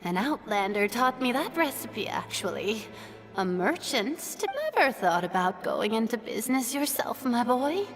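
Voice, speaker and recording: An actress voicing a kindly, hospitable old village granny in a video game, gentle and doting. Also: female voice, a little high, expressive, moderate pace. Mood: fond. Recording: hall reverb over music.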